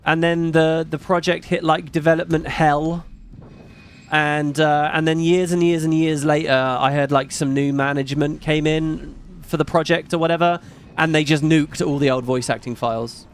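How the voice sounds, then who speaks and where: A middle-aged man with a British accent, chatting casually on his live stream; his voice is fairly high and not macho, and he rambles and swears casually. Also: little pitch movement, moderate pace, smooth.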